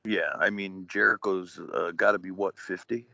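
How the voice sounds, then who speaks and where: Deep gravelly man in his forties. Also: steady tone, moderate pace, bright, forceful tone. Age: 40s. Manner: rambling, lots of fillers and wrestling slang. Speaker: guest, retired wrestler. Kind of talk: casual podcast chat. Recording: dull lo-fi podcast audio, dry.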